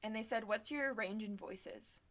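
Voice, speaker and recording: Young woman co-host, teasing, bright edge, slightly high voice. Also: unhurried. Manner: teasing, playful. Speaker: podcast co-host. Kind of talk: casual podcast chat.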